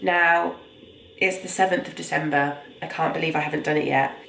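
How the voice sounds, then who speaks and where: A young woman with a low, nasal voice, congested from a cold. She chats in a rambling, conversational way as she cooks. Her voice rings around an echoey room, with a faint noise underneath. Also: steady tone, a little fast, vocal fry, a bit bright. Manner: rambling, conversational, talks while cooking. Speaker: vlogger. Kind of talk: casual chatty vlog. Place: home kitchen.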